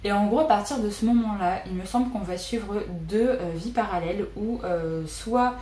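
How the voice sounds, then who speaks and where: A woman's voice: medium pitch, moderate pace, mellow. A young adult woman chatting casually about books she wants to read, with many hesitant fillers. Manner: chatty, frequent "euh" fillers. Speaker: booktuber. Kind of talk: casual book wishlist vlog. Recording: echoey room with faint noise.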